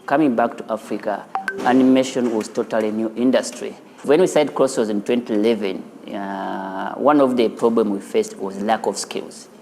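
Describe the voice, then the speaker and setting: A man's voice: lowish voice, steady tone, normal speed, vocal fry, mellow, big-room sound. A man with a Ugandan accent, speaking as the founder of an animation studio in a filmed profile interview. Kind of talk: interview and studio tour for a news feature. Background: music.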